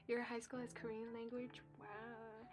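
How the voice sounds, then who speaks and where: A young woman, a native Cantonese speaker, chats at an unhurried pace with a slightly high voice, often breaking into giggles as she answers her viewers. Faint music plays underneath. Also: little pitch movement. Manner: chatty, giggly, reads out and answers viewer comments. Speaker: live-stream coloring host. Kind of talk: casual chat with live chat readers.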